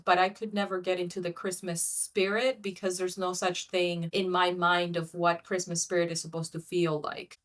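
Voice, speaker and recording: A woman from Cuba, co-hosting a casual podcast conversation, candid and animated as she tells stories with little asides. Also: lowish voice. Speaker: podcast co-host.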